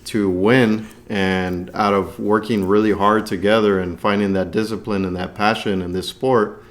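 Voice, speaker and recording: Low-voiced man in casual podcast talk. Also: flat delivery, moderate pace. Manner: agreeable, often affirming the other speaker. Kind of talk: casual conversation.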